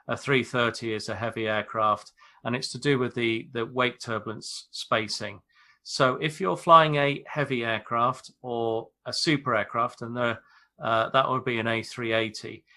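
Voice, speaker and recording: A British man, an airline pilot, explaining things in a measured, informal way on a podcast. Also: mid-range voice, pushed and bright. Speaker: airline pilot, expert guest.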